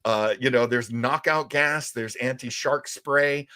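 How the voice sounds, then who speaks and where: A man's voice: mid-range voice, unhurried, pushed and bright, clear, resonant tone, no room sound, studio quality. A man co-hosting a retro radio show, chatting casually and enthusiastically in a rambling fan style. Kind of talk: casual live-stream chat about old radio serials.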